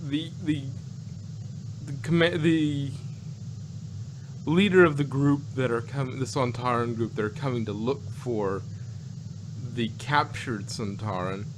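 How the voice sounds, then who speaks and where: A man co-hosting a casual review podcast, talking in a halting, rambling way as he searches for words. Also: medium pitch. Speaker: podcast co-host. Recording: noisy recording with background hum.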